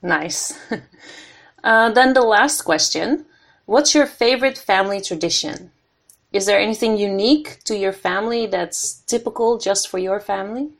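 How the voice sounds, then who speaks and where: Woman interviewer, medium pitch. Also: moderate pace, gravelly. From Netherlands. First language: Dutch. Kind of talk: casual interview.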